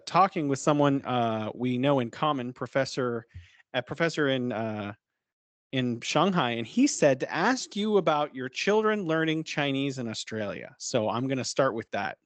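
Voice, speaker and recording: A man's voice: medium pitch, expressive, moderate pace, no room sound. Hesitant male podcast host, dry sound. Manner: friendly, hesitant, with many fillers. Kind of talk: interview hosting.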